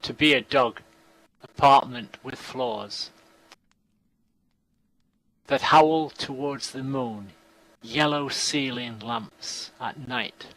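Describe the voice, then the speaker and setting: A man performing a poetry reading in deliberate short phrases with long pauses. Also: medium pitch, fairly bright tone, rough recording.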